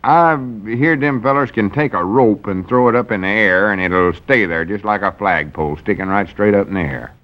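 A man's voice: fairly low pitch, moderate pace, few pauses, natural voice. An actor playing a gullible, earnest small-town man in an old radio comedy, with a drawling rural Southern American accent and rustic speech. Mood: earnest, insistent. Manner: drawling, folksy, rustic dialect. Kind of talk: 1940s radio comedy acting. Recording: dull, lo-fi old radio broadcast.

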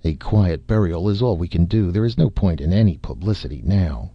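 A man reading aloud, voicing a composed astronomer who speaks in a measured, insistent way, in a slightly muffled dry recording. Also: low-pitched, animated, no room sound. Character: composed, probing male astronomer.

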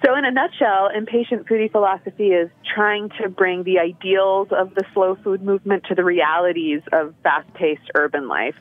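A woman's voice: medium pitch, normal speed, hardly any pauses, dry recording. A young woman calling in as a guest, talking casually and laughing often, with many fillers. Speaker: guest on a phone-in. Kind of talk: casual phone interview. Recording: tinny phone line.

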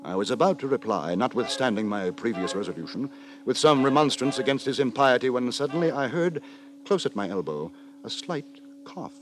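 An actor playing a prim, moralising gentleman who narrates in wordy, formal, mock-solemn 1950s radio drama style. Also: mid-range voice, sing-song, moderate pace, close to an everyday voice, low-quality recording. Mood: wry, measured. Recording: 1950s radio, music behind.

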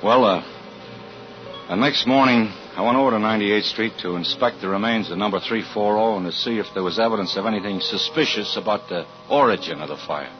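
Male actor as weary, hesitant insurance investigator, low register, old muffled radio-thriller recording. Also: over music, close to an everyday voice. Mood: brooding, narrating. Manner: hesitant, halting, with stammered repeats. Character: uneasy, weary fire insurance investigator, stubborn man. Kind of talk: 1940s radio acting, first-person narration and dialogue.

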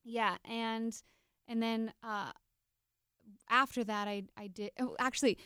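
A woman's voice: fairly high pitch, fairly even intonation, a little slow, sharp tone, clear, resonant tone, dry recording, clean studio sound. A woman, a stage actress, talking casually as a guest in a group interview.

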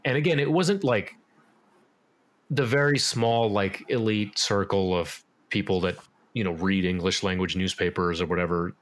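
A man in his thirties, a musician guest on a podcast, talking casually in a relaxed, rambling way with many fillers and restarts. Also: deep voice, moderate pace. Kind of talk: casual interview talk.

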